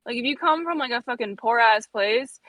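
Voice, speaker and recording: Young woman, rapid and bright, blunt and sweary, dry close sound. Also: on the high side, dry recording. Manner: blunt, swears freely, many fillers. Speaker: debate participant. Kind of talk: casual heated debate on stream.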